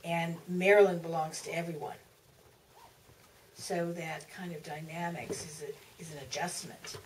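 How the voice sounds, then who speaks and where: Woman, lower register, reflective panel answer, searching phrasing, distant mic. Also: steady tone. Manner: halting, searching for words, repeats starts of phrases. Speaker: author, panel guest. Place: theater stage at a public Q&A. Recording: distant mic, faint room noise.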